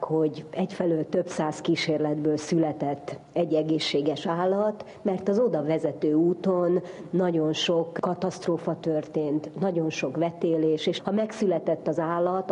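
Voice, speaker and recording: A woman conducting a science interview, asking probing questions in a measured way. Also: deep voice, warm tone. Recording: faint background noise.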